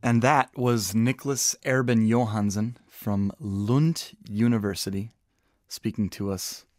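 A man's voice: lowish voice, fairly even intonation, measured pace, dry recording, studio quality, faint music behind. A man co-hosting a podcast, speaking in a reflective, casual way and wrapping up the segment. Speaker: podcast co-host.

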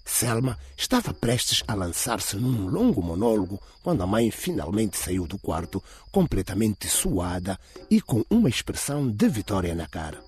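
A man's voice: fairly low pitch, sing-song, normal speed, a little mellow, slightly rough. A man reading a scripted radio drama as its dramatic narrator. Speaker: audiobook narrator.

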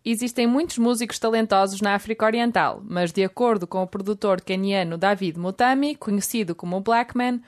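A woman presenting a youth radio magazine in a friendly, brisk way. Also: mid-range voice. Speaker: radio show host.

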